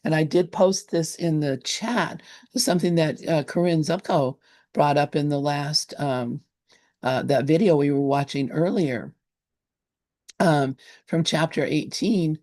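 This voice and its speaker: Chatty host of a group call, quick to laugh, dry sound. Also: medium pitch, a little mellow, no room sound. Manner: chatty, laughs often, stumbles over words. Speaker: host of a study gathering. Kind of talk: casual group discussion.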